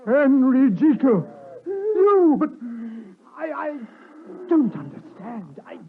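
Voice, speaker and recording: A man's voice: very high voice, sing-song, slow, exaggerated voice. A British man acting in a 1950s radio drama as a proper, skeptical doctor who is aghast, with a stammering, dramatic delivery. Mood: horrified, bewildered. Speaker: actor. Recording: tinny, narrow 1950s radio recording.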